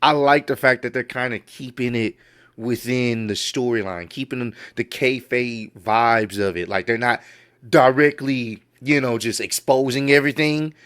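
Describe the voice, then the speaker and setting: A man's voice: medium pitch, steady tone, a little slow, fairly bright tone, smooth. A man giving casual reaction commentary on wrestling news, laid-back and admiring, often repeating himself.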